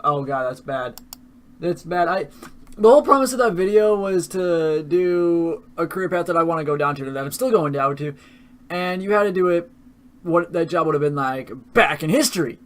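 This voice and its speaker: A man's voice: on the high side, moderate pace. A young man casually commenting with profanity, mocking his own old videos.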